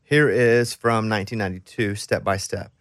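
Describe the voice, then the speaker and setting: A man's voice: on the low side, fairly even intonation, moderate pace, heavy background noise. A man, hosting a casual interview in a chatty, informal way. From Arkansas, USA. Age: 30s to 40s. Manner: chatty, informal, talks at length before questions. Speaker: interview host.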